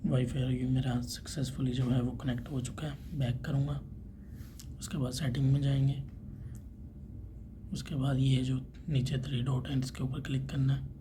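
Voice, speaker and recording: Male tutorial presenter, medium pitch, informal step-by-step delivery, noticeably noisy recording. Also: little pitch movement, normal speed. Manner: informal, talking through each step while doing it. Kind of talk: step-by-step tech tutorial narration. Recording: clearly noisy background ambience.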